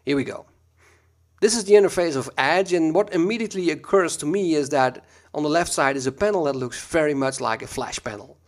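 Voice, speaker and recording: Dutch-accented man teaching software, chatty. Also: on the high side, brisk. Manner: relaxed, chatty, frequent ums and uhs. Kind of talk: screen-recorded software tutorial.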